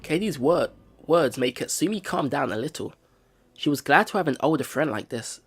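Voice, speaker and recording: A man's voice: fairly high pitch, lively intonation, normal speed, breathy edge, soft background music. A man hosting a video game let's play, commenting to viewers and reading story text aloud.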